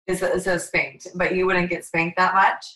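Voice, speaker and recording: A woman's voice: lowish voice, little pitch movement, moderate pace, sharp tone, poor audio. A woman who grew up in Latin America, hosting a casual interview and asking direct, curious questions. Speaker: podcast host.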